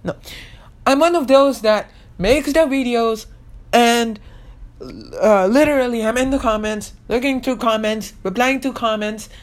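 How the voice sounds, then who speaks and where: A woman's voice: a little high, unhurried. An eighteen-year-old woman hosting her own podcast in casual, rambling chat, full of fillers and restarts, earnestly insisting she means what she says. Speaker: podcast host and YouTuber.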